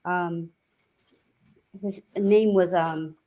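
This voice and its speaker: A woman from New England with a subtle regional accent, chatting hesitantly and laughing softly as she gets a reading. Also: fairly low pitch, steady tone, drawn-out words, poor audio. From New Hampshire and Massachusetts, US. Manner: hesitant, frequent soft laughter. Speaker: guest receiving a reading. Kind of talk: casual chat.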